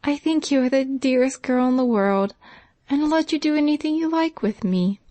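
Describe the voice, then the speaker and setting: A woman's voice: on the high side, lively intonation, unhurried, a little mellow, no echo, voice pushed up. A woman voicing a humble, grateful poor servant girl with plain, homely speech. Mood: earnest.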